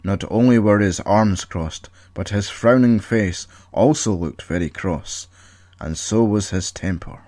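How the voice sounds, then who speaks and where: A man's voice: lowish voice, a little slow, a little mellow, dry recording. Man narrating, close and very dry. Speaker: author and narrator. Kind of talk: scripted story narration.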